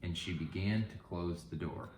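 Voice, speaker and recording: A man's voice: low voice, normal speed, darkish tone. A man reading a children's storybook aloud as a guest reader. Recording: roomy, faint background noise.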